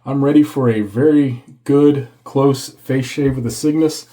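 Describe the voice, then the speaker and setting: A man hosting a casual shaving video, congested and a bit hoarse from a cold, chatting as he lathers. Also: mid-range voice, fairly even intonation, a little slow, mellow. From Texas. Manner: chatty, reads product blurb aloud. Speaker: shaving channel host. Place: home office.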